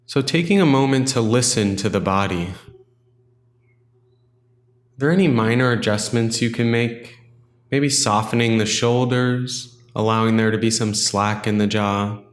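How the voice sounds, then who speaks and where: A man leading a guided meditation in a gentle, unhurried, instructional way, leaving long pauses between phrases. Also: lowish voice, reverberant.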